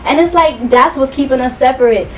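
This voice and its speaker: A woman's voice: high voice, a little fast. Emphatic woman, heard from across the room. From New York. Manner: emphatic, preachy, repeats herself, many fillers. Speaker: guest musician. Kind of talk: passionate talk-show conversation. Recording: distant mic with faint noise.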